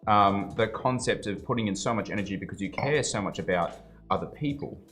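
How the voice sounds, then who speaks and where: A man's voice: on the low side, a little fast, fairly bright tone, faint music behind. A man hosting a casual podcast interview, talking in a rambling, emphatic way with lots of fillers and swearing. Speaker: podcast host.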